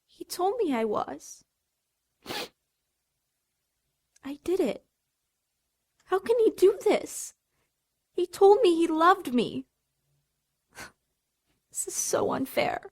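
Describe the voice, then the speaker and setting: An actress playing a dramatic, sarcastic teenage big sister in an audio drama. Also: female voice, very high-pitched, very animated, takes it slowly, pauses often, darkish tone, heavily acted. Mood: heartbroken. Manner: sarcastic, theatrical.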